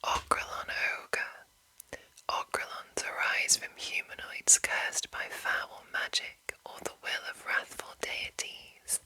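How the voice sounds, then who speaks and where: A woman whispers slowly and breathily, as an ASMR host reading from a game manual. Her phrasing is hushed and deliberate, with a crisp, bright edge to the airy sound. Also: rough recording.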